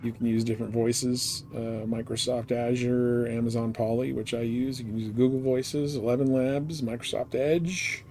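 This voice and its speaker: A man's voice: mid-range voice, little pitch movement, normal speed, few pauses, a little mellow. A man giving casual live gameplay commentary with a dry, wry manner. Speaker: game live streamer. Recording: noisy game stream.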